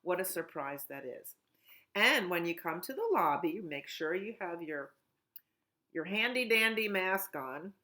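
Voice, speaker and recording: A middle-aged woman at home, a children's librarian talking gently and in a sing-song way during a storytime. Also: fairly low pitch, animated, unhurried. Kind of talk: storytime reading and chat for children.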